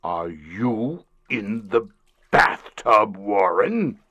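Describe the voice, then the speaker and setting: A man's voice: fairly low pitch, very animated, very slow, sharp tone, husky, full character voice. A man in a comic audio drama playing an overbearing middle-aged company boss, pompous and emphatic. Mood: indignant, demanding.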